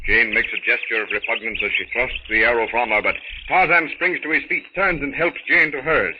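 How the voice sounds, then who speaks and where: A man's voice: medium pitch, brisk, natural voice. A man narrating a jungle adventure serial in an earnest, dramatic 1930s radio style on a muffled, hissy recording. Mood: earnest, suspenseful.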